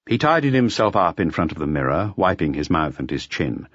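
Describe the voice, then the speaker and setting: A man's voice: low-pitched, very animated, brisk, fairly bright tone, dry recording, lo-fi sound. A man reading scripted narration from a detective novel as an audiobook narrator.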